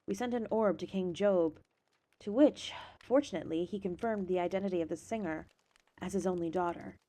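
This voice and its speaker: A woman's voice: on the low side, fairly quick, dark tone, lightly acted. A woman playing a composed noblewoman. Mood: measured.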